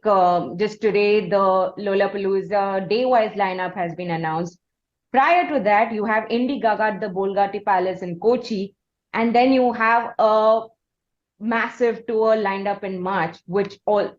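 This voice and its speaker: A woman with an Indian accent hosting a conversational interview show, heard on a dull, roomy recording. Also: mid-range voice. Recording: dull, echoey room sound.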